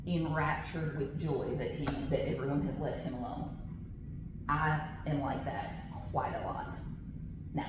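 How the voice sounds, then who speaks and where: A woman with a loud voice leading a classroom training, chatty, with personal asides and frequent restarts. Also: deep voice. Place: large training room with tables. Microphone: camera on the table. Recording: echoey room, background noise.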